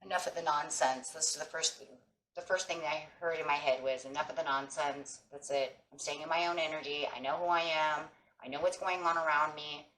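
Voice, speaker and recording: A woman with a hoarse voice from a sinus infection, giving a casual tarot reading and speaking encouragingly to viewers as friends. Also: low voice, fairly even intonation, fairly quick, fairly bright tone, echoey room, low-quality recording. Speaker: tarot reader. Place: table with tarot cloth, indoors.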